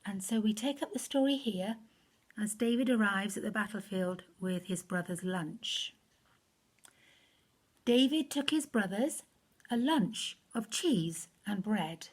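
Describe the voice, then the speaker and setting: A woman reading a rhyming children's story aloud, expressively and rhythmically, with phrase endings falling soft. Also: medium pitch, slightly rough. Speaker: story reader.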